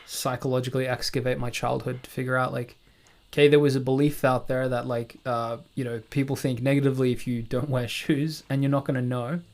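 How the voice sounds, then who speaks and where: A man's voice: mid-range voice, little pitch movement, fairly quick. Reflective man in casual conversation. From South Africa. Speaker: podcast speaker.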